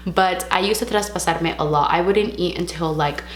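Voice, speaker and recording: A young woman talks frankly and casually in a slightly lower voice, a touch raspy from a sore throat, dropping in the odd Spanish word. Her voice bounces around an echoey room over a faint steady hum. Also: moderate pace. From California. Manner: chatty, frank, sprinkles in Spanish words. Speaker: vlogger. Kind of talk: casual vlog talk to camera.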